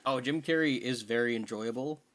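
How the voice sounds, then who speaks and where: A man's voice: mid-range voice, a little fast, a bit bright. A man co-hosting a podcast, giving his opinions on films in casual conversation. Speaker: podcast co-host. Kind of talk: casual film podcast chat.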